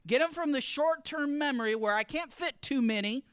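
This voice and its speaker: A man giving a lively teaching talk to students, often asking the room questions as he explains. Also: extremely high pitch, normal speed, no echo. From grew up partly in Costa Rica. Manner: asks the audience questions, explains with extended metaphor. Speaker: college speaker teaching study skills. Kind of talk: interactive classroom talk.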